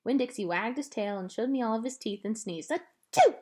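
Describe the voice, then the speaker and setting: A woman reading a children's novel aloud as an expressive storybook narrator. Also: fairly high pitch, a little fast, soft background music. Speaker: storybook reader.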